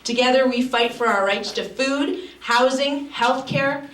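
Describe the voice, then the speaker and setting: A woman's voice: high-pitched, measured pace, a bit bright. A woman co-coordinator giving an earnest, rallying opening speech at a public event. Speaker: anti-poverty group co-coordinator. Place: university library event hall. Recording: echoey hall, distant mic, faint noise.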